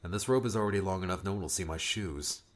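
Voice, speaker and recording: A man's voice: low voice, quick pace, lightly acted. A man voicing a stern, grumpy young male time traveler who speaks curtly and bluntly. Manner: curt, blunt. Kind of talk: audiobook character voice.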